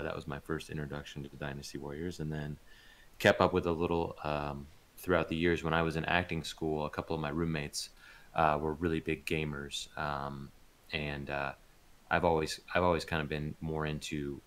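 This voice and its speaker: Male interview guest, extremely low voice, laid-back, halting conversational delivery. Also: a bit bright, a little hiss or hum. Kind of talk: casual chat.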